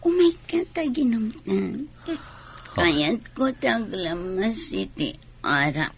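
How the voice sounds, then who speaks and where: Slow-talking, scheming seductress. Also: female voice, medium pitch, big pitch swings, gravelly, slight background noise, exaggerated voice. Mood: scheming, coaxing. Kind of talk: radio drama acting.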